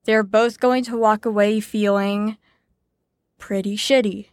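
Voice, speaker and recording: An actress playing a brisk, sarcastic teacher in an audio drama, lecturing in an articulate, wry way. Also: female voice, mid-range voice, steady tone, dry recording, natural voice. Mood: wry, impatient. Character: brisk, sarcastic female teacher explaining psychology theories. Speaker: lecturer leading a group lesson.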